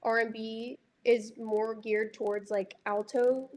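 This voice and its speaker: A young woman from Dallas with a light, high voice, a music artist talking reflectively about her songs and filling her speech with casual fillers. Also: level tone, slow, darkish tone. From Dallas, Texas. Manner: reflective, heavy use of filler words. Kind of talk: casual interview chat.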